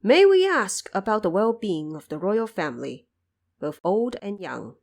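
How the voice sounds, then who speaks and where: A woman's voice: mid-range voice, big pitch swings, a little slow. Humble, restrained emperor, voiced by a woman. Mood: polite, subdued. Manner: formal, restrained, uses royal we. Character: humble, dignified male emperor defending himself.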